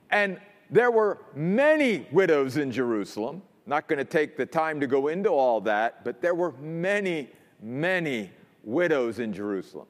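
A man preaching a sermon as pastor, emphatic, dropping low and quiet to stress points. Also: long reverb tail.

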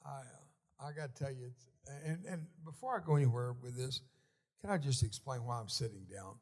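A man in his seventies giving a folksy, joking testimony sermon while seated in a church, often halting and restarting. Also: medium pitch, darkish tone. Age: 70s. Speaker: guest speaker at a church.

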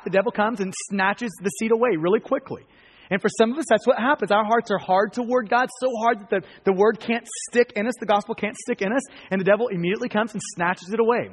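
An American man preaching a sermon to a congregation in an earnest, emphatic manner, repeating phrases for weight. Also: high-pitched. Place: large reverberant room, live before a congregation. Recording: long hall reverb.

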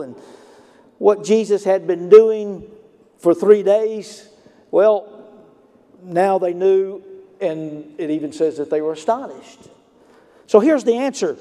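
A man with a Lower Alabama Southern accent preaching a sermon, moving from storytelling to emphatic points. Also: warm tone, big-room sound. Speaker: pastor.

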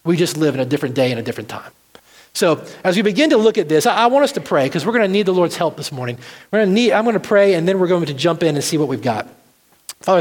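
Male preacher, high-pitched voice, earnest deliberate sermon delivery, live in a reverberant hall. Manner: earnest, deliberate phrasing with repetition for emphasis. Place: large reverberant room, church service.

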